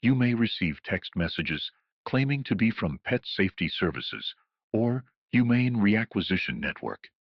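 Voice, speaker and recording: Muffled echoey recording, low-pitched man, solemn horror broadcast narrator, official and ominous delivery. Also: big pitch swings, moderate pace. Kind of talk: scripted analog horror public warning broadcast narration. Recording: muffled lo-fi audio with roomy echo.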